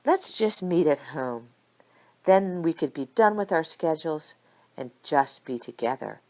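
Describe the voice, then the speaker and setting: A confident female corporate lawyer from an audiobook story, voiced by a woman reader. She is devoted and tender beneath the poise, and speaks in a measured, earnest way. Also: low voice, expressive, a little mellow.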